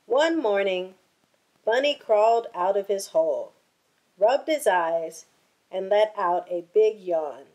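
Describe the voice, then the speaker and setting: A woman reading a children's picture book aloud in a deliberate, expressive storytelling manner. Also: medium pitch, lo-fi sound.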